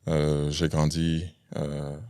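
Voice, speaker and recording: A man's voice: extremely low pitch, monotone, takes it slowly, dark tone, smooth, dry recording, clean studio sound. A man in his thirties from Cameroon, a podcast guest speaking casually with many hesitant fillers. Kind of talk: casual interview.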